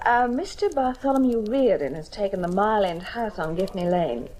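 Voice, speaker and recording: A woman's voice: medium pitch, lively intonation, normal speed, darkish tone, close to an everyday voice. An actress playing a detective's playful young female sidekick in an old radio mystery, wry and lightly sceptical. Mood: matter-of-fact.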